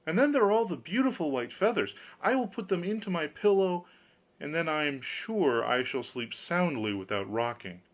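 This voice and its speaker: A gullible, cheerful young storybook lad, acted out by a male reader. His delivery is exclamatory and naively eager, and his pitch leaps around in a very lively way. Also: normal speed. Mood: pleased, smug. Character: cheerful, gullible young man, easily pleased with bargains.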